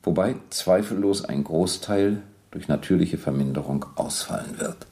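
A man's voice: low-pitched, moderate pace, warm tone. An actor playing a methodical male police captain in a radio-play interrogation, formal, precise and insistent.

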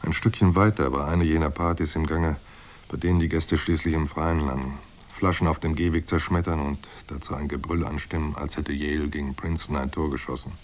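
An actor playing a hard-boiled private detective in a noir radio drama, dry, laconic and sardonic. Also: male voice, extremely low pitch, fairly even intonation, fairly quick. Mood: dry, wry. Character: hard-boiled male private detective narrating, wry and unflappable. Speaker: narrator and lead actor.

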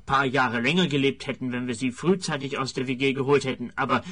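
Casting: man as self-important academic for radio comedy, husky mid-range voice, emphatic, callous. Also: medium pitch, steady tone, fast talker, a bit bright, natural voice. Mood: smug. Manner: pompous, emphatic. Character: smug, jargon-loving male sociologist, blithely callous.

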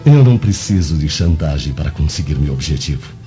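A scheming Roman lover in a radio play, acted by a man. His voice is very deep and mellow, insinuating and theatrical. The recording is dull, with music underneath. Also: very animated, brisk. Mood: smug, insinuating. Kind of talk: radio drama acting.

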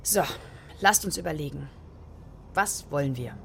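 A woman's voice: deep voice, animated, measured pace, creaky, a bit bright, a little hiss or hum, heavily acted. An actress playing an outspoken woman planning with friends, in naturalistic radio drama dialogue. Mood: brisk.

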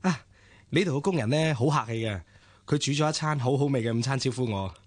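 An actor playing a polite, bookish, gently flirtatious gentleman in a radio drama, with a Hong Kong Cantonese accent. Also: mid-range voice, animated, a little fast, close to an everyday voice. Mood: gently courteous. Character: courteous, bookish gentleman, friendly and attentive suitor.

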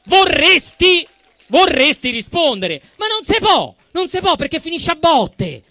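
A man with a Roman accent performs a satirical stage monologue in a very high-pitched, forceful voice. His delivery swings widely, emphatic and indignant with a mock-solemn edge. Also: very animated, a little slow, no echo. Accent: Roman Italian. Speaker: actor performing a comic monologue. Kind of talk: theatrical satirical monologue, storytelling.

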